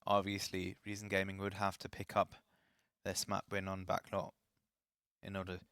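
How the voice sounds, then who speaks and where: A man's voice: low-pitched, completely flat delivery, normal speed, a bit bright, clear, resonant tone, no room sound, clean studio sound. Man casting esports, chatty. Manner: chatty, self-deprecating, apologetic asides. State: slightly raspy voice. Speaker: esports caster. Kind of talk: live esports commentary.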